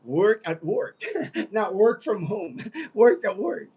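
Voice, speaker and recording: American male livestream host, late 50s, slightly high-pitched voice, loose conversational delivery that wanders and circles back. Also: lively intonation, slow, mellow, slightly rough. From United States. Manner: rambling, conversational, repeats phrases. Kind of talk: casual livestream chat, reading out viewer comments.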